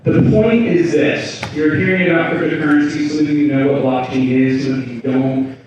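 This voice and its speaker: A man giving an animated, rambling talk at a conference, jumping from asides to big ideas. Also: fairly high pitch, moderate pace, background noise. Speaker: conference speaker. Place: conference hall. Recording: echoey hall from far off, dull sound.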